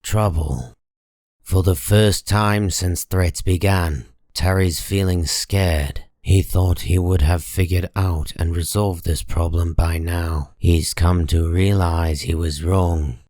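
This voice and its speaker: A man with a very low voice narrates a dark comic-book tale. He takes his time, grave and measured, placing each phrase deliberately. The sound is dry, with no room around it. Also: steady tone, no echo.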